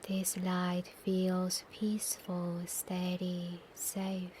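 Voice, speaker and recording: A woman guides a meditation very slowly in a soft, breathy near-whisper. Her pitch stays slightly low and very even, with long soothing pauses. Faint ambience sits behind her voice. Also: a little hiss or hum. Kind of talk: guided meditation, slow scripted instruction. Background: soft nature ambience.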